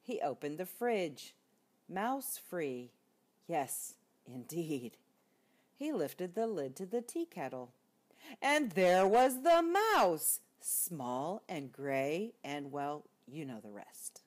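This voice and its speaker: An older woman reading a children's storybook aloud expressively, as a grandmother would, with deliberate emphasis. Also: lowish voice, big pitch swings.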